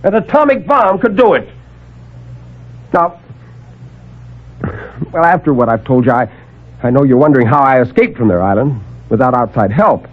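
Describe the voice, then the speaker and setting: An actor playing a desperate escaped captive who pleads urgently and haltingly to be believed, in 1950s radio drama style. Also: male voice, mid-range voice, sing-song. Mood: urgent, fearful. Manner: urgent, emphatic, halting delivery. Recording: narrowband old AM radio recording.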